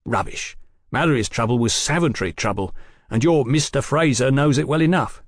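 A man's voice: medium pitch, normal speed, no room sound, clean studio sound, pitched above the performer's own voice, lightly acted. A man voicing a suspicious, hostile political thug in an audiobook, blunt, challenging and coarse-mouthed. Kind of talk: audiobook character acting.

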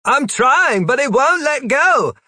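A man voicing frantic male dragon riders who bicker in high, whiny voices. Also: big pitch swings, slow, pushed and bright, dry recording, studio quality, heavily acted. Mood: exasperated.

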